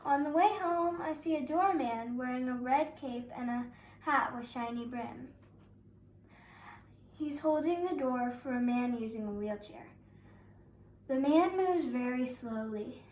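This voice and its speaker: A woman's voice: high voice, darkish tone, recorded from a distance. A young girl of about nine reading a children's picture book aloud as narrator. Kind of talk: scripted children's story narration. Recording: roomy echo, faint background noise.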